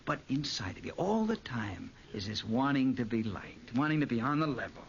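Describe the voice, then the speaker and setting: An actor playing a brash, flirtatious American gambler who teases and probes in a 1940s radio drama. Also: male voice, medium pitch, very animated, moderate pace, gravelly. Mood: insinuating. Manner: glib, teasing. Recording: muffled old radio recording, faint noise.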